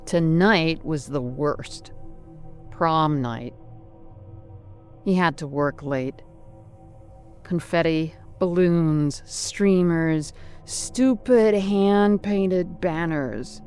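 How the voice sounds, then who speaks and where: A narrator delivers scripted horror drama in short, clipped phrases. The reading is slow and deliberate, at a middling pitch, with music in the background. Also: a little mellow.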